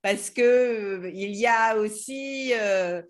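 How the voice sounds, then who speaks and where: A woman's voice: mid-range voice, takes it slowly. A woman hosting a casual interview, chatty and full of fillers like "hein" and "voilà". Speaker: host of a French learning club.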